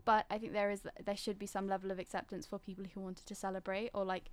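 Young British-accented woman, very even intonation. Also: mid-range voice, fast talker. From Singapore. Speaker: discussion participant. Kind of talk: reflective casual conversation.

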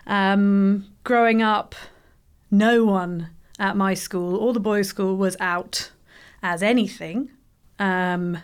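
A woman speaks casually about her own experience, pausing on fillers as she goes. Her voice is clear and smooth, with a mid-range pitch. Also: fairly even intonation. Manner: hesitant, with fillers. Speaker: podcast guest. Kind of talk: casual personal account.

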